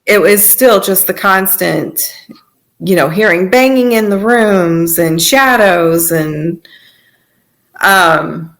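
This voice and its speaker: A woman's voice: on the low side, takes it slowly, a bit bright. A woman giving personal testimony as a podcast guest, in informal, conversational storytelling.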